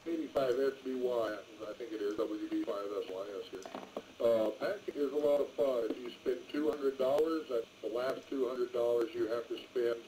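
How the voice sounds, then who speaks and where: A man's voice: mid-range voice, moderate pace, dark tone. An older man chatting casually as an amateur radio operator, with a rambling, folksy way of talking. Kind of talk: casual ham radio chat. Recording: noisy amateur radio transmission.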